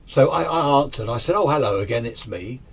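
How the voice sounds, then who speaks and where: A man's voice: medium pitch, lively intonation, a little fast, vocal fry, husky. A man tells a personal story casually and unscripted, in a rambling, conversational way, acting out remembered exchanges. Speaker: storyteller recounting personal radio anecdotes.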